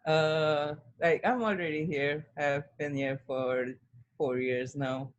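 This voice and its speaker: A man's voice: a little high, steady tone, slow, dull audio. A man from India taking part in an online workshop over a video call, hesitant with fillers. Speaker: workshop participant.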